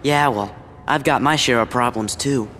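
A man's voice: mid-range voice, moderate pace, big-room sound, noisy background, close to an everyday voice. A young man with an American accent playing an earnest, upbeat teenage boy hero in a video game cutscene. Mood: sincere. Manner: boyish, expressive, earnest.

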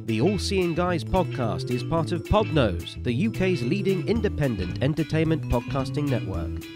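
A man's voice: a little high, sing-song, normal speed, loud music behind the voice. A man reading a scripted promotional announcement for a podcast network.

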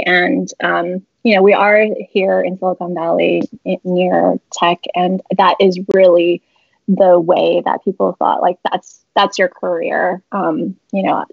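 Young woman guest, faintly husky, rambling and laughing, muffled call audio, dry home room. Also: lowish voice, no echo. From San Francisco Bay Area. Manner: rambling, many fillers and laughs. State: slightly raspy voice. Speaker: interview guest, publisher. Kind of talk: casual video-call interview. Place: home room with shelves, indoors. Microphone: laptop webcam video call.

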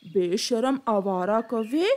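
A woman's voice: a little high, slow, a little mellow, a little hiss or hum. A woman voicing a stern pigeon uncle who runs a tea stall and scolds, in a children's cartoon. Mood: indignant.

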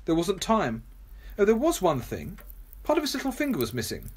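A woman playing an earnest, polite gentleman hero in a radio play, an understated manner and a husky voice. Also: mid-range voice, huge pitch swings, moderate pace, slight background noise. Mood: hesitant. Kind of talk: homemade radio play acting.

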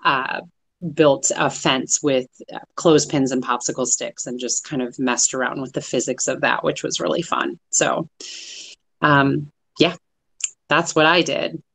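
A woman's voice: very deep voice, steady tone, measured pace, creaky, fairly bright tone, breathy edge. A woman in her forties giving a casual, explanatory report over a phone video call. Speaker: participant in a read-aloud discussion group. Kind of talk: casual spoken report on an activity. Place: small room at home, on a video call.

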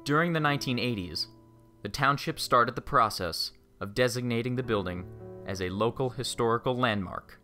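A man narrates a documentary from a script in a low-pitched voice. Guitar music plays clearly behind him. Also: pushed and bright. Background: background music with plucked guitar.

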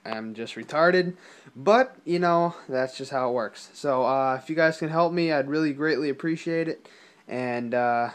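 A young man talking casually and haltingly to viewers, as in an informal gaming vlog. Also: on the high side, clear, resonant tone. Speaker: gaming video creator. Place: small indoor room at a desk.

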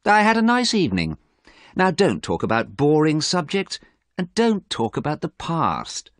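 A man voicing a vain, callous young gentleman with a haughty, emphatic, theatrical manner in an audiobook story. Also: fairly low pitch, huge pitch swings, measured pace, no room sound, studio quality, voice pushed up, exaggerated voice. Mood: bored, dismissive.

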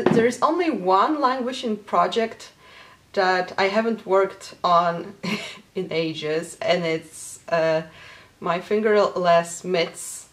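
Slow-talking Polish-born woman. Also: mid-range voice. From Poland. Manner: chatty, with many fillers and small laughs. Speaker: knitting podcast host. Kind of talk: casual chat to camera. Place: small home craft room with bookshelves, indoors.

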